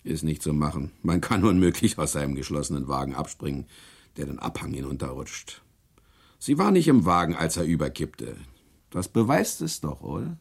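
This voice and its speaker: An actor playing a relentless, cunning prosecutor with a gravelly voice in a German radio play, pressing a suspect insistently. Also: male voice, rumbling low, big pitch swings, normal speed, heavily acted. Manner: insistent, interrogating.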